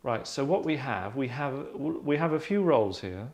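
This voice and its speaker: A man in his thirties hosting a casual group discussion, talking in a hesitant, rambling, deadpan way. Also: mid-range voice, normal speed. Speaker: host and narrator of a table-read show. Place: small furnished lounge, indoors.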